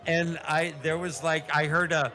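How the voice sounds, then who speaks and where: A man plays a flustered sidekick in a comic puppet show. The voice is fairly high and bright, talking in halting, scattered fragments over faint music. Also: level tone. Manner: hesitant, scattered, repeats himself.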